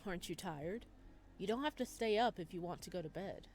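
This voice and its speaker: A woman voicing a bashful, hospitable young man in a visual novel, gentle and a little hesitant. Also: fairly low pitch, lively intonation, a little fast, lightly acted. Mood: caring.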